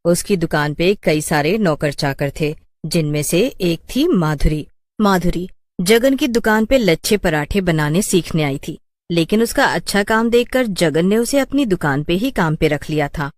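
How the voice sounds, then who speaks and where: Low-voiced woman narrating a story. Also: lively intonation, normal speed, no echo.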